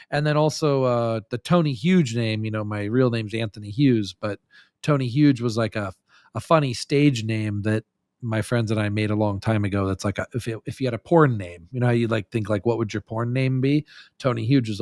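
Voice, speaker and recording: A man's voice: mid-range voice, a little fast, darkish tone, dry recording, clean studio sound. A man talking casually and frankly as a podcast guest, in a loose, rambling way. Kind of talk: casual candid chat.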